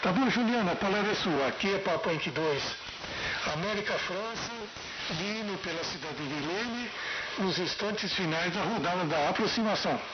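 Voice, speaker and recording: A man's voice: high voice, animated, normal speed. A Brazilian man hosting an amateur radio net, explaining things casually with frequent tag questions over a radio transmission. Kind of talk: casual ham radio conversation. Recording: muffled, noisy AM ham radio transmission.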